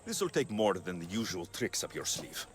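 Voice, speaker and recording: A man's voice: low-pitched, big pitch swings, normal speed, soft background music. A man playing a tough young mercenary in an ancient Greek video game, dry and menacing. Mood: exasperated. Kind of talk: dramatic video game acting.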